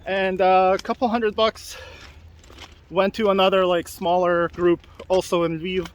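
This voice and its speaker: A man's voice: high voice, little pitch movement, unhurried. A man hosting a casual walking video podcast outdoors, rambling and upbeat, laughing often and talking with many fillers. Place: outdoors by railway tracks.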